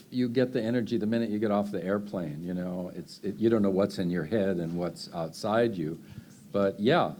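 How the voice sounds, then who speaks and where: An older man with a fairly low voice answers questions from a live audience. He talks loosely and conversationally, circling back with fillers and restarts. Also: dark tone. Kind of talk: unscripted Q&A answers.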